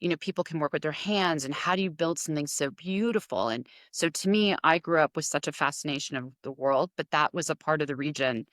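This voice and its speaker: A woman's voice: low voice, brisk, few pauses, fairly bright tone, no room sound, studio quality. A woman hosting a podcast interview, asking enthusiastic, rambling questions. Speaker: podcast host. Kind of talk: conversational interview.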